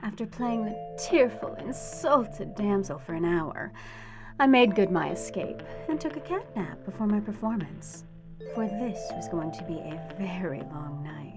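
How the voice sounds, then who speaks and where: A woman's voice: mid-range voice, animated, measured pace, over music, close to an everyday voice. A woman playing a cunning lady thief who poses as a charming singer, polished and knowing, in a radio drama. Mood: wry, confiding. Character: cunning, poised lady cat burglar posing as charming singer. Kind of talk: radio drama acting with first-person narration.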